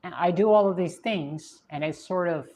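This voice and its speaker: A woman's voice: lowish voice, moderate pace, a little mellow. A woman from Russia, a native Russian speaker with a mixed Russian and British English accent, chatting thoughtfully on a livestream with many hesitations and restarts. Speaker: streamer answering viewer questions. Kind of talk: casual livestream chat.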